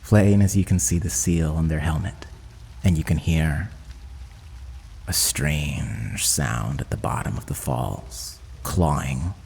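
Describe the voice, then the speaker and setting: A man with a very low voice narrates a tabletop game as its game master. He speaks slowly and descriptively, with dramatic weight. His voice rings in a long reverb. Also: gravelly, darkish tone, husky.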